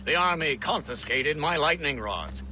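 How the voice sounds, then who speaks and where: An actor playing an eccentric older male inventor, courteous, fussy and excitable, in a video game cutscene. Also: a little high, normal speed, soft background music. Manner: animated, articulate.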